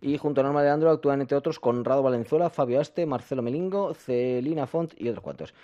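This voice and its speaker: A man's voice: medium pitch, fast talker, mellow, dull audio. A man hosting a film radio show, talking in a playful, teasing way and making jokey asides. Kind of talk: casual radio banter.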